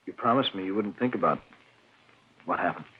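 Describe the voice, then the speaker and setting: An actor playing an anxious, mild-mannered husband in an old radio drama, with a husky, stammering delivery. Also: male voice, sits low, a little fast. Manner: nervous, stammering. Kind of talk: 1940s radio acting. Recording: muffled old radio recording.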